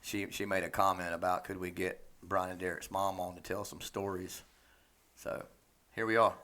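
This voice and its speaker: A man's voice: low voice, level tone, moderate pace, pushed and bright. A man co-hosting a casual podcast, making brief, laid-back remarks. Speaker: podcast co-host. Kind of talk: casual chat.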